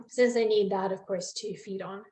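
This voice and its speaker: Young woman, mid-pitched, friendly teacher of bird identification on a webinar, lots of ums, a little hoarse, echoey room. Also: fairly even intonation, unhurried, dark tone. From Newfoundland. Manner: friendly, explanatory, many ums. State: losing her voice, clearing throat. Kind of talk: online webinar lesson. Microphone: video call. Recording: echoey room on a video call.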